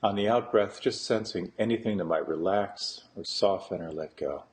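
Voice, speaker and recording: A man guiding a meditation as a teacher, speaking slowly and deliberately, with long pauses between phrases. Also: low voice. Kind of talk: guided meditation instruction.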